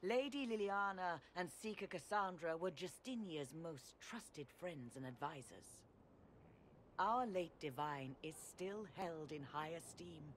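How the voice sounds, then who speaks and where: Earnest, low-voiced revered mother. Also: expressive, slight background noise, poor audio. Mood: earnest, solemn. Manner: formal, measured, persuasive. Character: earnest, politely insistent revered mother of the clergy. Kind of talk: video game cutscene acting.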